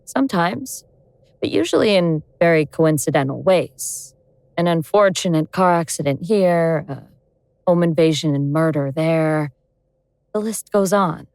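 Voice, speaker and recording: Vengeful ancient goddess in human form: lower register, wry, world-weary, scornful, audio drama. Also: female voice, animated, darkish tone, dry recording. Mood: matter-of-fact, explaining. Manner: sardonic, world-weary, deliberate explaining.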